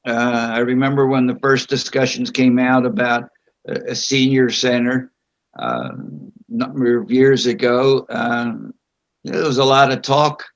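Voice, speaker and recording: An older man answering interview questions at a public meeting over a video call, speaking slowly and haltingly with frequent pauses. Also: mid-range voice. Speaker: board candidate being interviewed. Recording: dull lo-fi video call audio.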